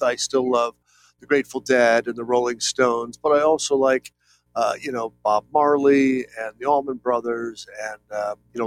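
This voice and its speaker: A man's voice: mid-range voice, poor audio. Late-50s male guest, earnest and rambling, plenty of fillers; faint background music. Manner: earnest, rambling, many fillers and "if you will". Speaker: interview guest, painter. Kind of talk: reflective conversational interview.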